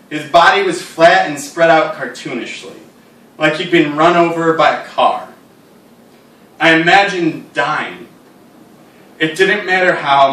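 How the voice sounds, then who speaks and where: A man giving a live reading of stories and poems in a wry, deadpan manner, delivering absurd lines seriously. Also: fairly high pitch, a little hiss or hum. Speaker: writer reading his own work aloud. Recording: roomy echo, distant mic.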